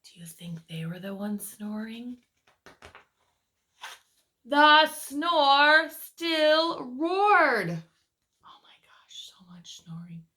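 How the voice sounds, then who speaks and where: A high-voiced woman reads a story aloud for preschoolers. Her delivery is slow and very animated, with drawn-out, dramatic words and little asides to the children, as a teacher would give.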